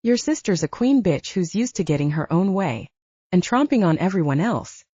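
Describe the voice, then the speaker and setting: A woman reader plays a defiant romance heroine, blunt and resolute. Her voice is mellow and a little low, swinging in pitch, in an audiobook reading. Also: expressive, normal speed, husky, no echo, highs cut off. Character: stubborn, defiant woman in love with a werewolf.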